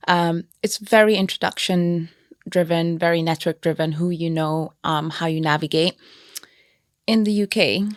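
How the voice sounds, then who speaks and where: A woman's voice: on the low side, steady tone, normal speed, no echo, clean studio sound. A young woman from Kenya, a business founder and podcast guest, answering in a thoughtful, casual way with many fillers and restarts. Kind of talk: casual interview chat.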